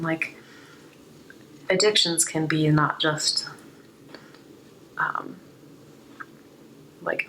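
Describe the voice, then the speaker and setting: Female tarot reader, low register, a touch hoarse, reflective and unscripted delivery, some noise behind the voice. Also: a bit bright, poor audio. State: slightly hoarse. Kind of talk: unscripted spiritual card reading, reflective.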